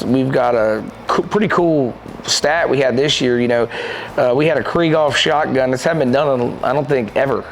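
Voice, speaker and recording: A man's voice: mid-range voice, gravelly, a little hiss or hum, rough recording. A man in his thirties in casual chat, telling stories with lots of gestures in a lodge room with a stone fireplace. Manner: animated, gestures a lot, rambling storytelling. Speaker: podcast co-host and shooting club manager.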